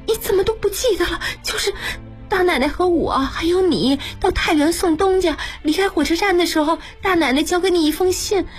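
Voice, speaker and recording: Period audiobook maidservant: young, anxious, earnest, extremely high voice over light music. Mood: anxious, urgent. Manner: fretful, scolding, plaintive.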